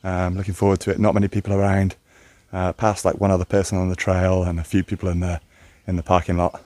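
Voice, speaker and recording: Deep voiced guy in his 30s vlogging a hike outdoors, phone at arms length, laid back, lots of ums. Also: fast talker, no echo. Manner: relaxed, rambling with many ums and uhs. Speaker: hiking vlogger. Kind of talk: casual vlog narration. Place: outdoors on a mountain trail. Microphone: phone at arm's length.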